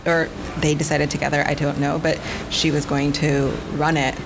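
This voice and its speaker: A woman, a podcast guest talking in a reflective, unhurried way, often pausing and restarting her sentences. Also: sits low, little pitch movement, creaky, background noise. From United States. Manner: reflective, with frequent fillers and restarts. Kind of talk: casual interview.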